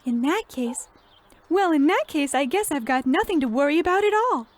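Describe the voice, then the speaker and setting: A woman's voice: high-pitched, lively intonation, normal speed, a little hiss or hum. A woman playing a naive, wholesome and anxious teenage girl in an earnest old-time radio comedy play. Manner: earnest, gee-whiz innocent.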